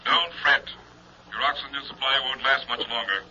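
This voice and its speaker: An actor playing a calm, menacing mad doctor posing as a professor in a 1960s radio horror drama, deliberate and coldly soothing. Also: male voice, medium pitch. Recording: muffled, noisy vintage radio recording.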